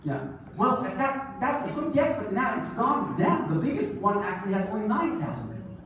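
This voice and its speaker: A man in his forties telling stories as a keynote speaker on stage, lively, asking the audience questions. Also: high voice, moderate pace, a little hiss or hum. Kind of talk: storytelling keynote speech. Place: large conference hall, on stage. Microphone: phone camera far from the stage. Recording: echoey hall recorded from far off.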